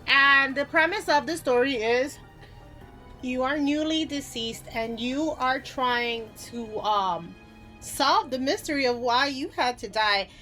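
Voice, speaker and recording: A woman's voice: high voice, slow pace, pushed and bright, faint music behind. A woman hosting a casual game list video, talking informally and conversationally with offhand asides. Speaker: video game YouTuber, host. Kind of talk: casual top-ten list commentary.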